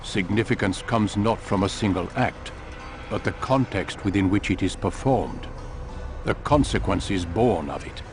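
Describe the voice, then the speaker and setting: A stern, low-voiced assassin master. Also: male voice, lively intonation, music in the background. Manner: measured, formal, authoritative. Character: stern, commanding older male master of assassins. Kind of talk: video game voice acting.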